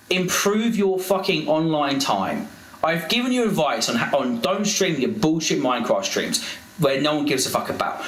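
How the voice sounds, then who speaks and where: Male streamer, heated ranter, heavy swearing, animated slightly high pitch, roomy sound. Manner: exasperated, swears constantly. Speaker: livestreamer. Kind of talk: heated livestream rant.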